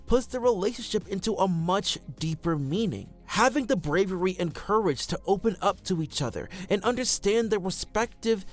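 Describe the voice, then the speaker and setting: Guy narrating a scripted fan video essay, really lively intonation, soft music behind him. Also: high voice.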